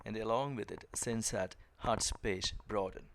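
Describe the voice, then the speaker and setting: A man leads a guided meditation with very unhurried, gentle delivery. His voice sits on the low side and has a gravelly creak to it. He gives each instruction in short, measured phrases and leaves long pauses between them. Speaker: meditation and yoga teacher.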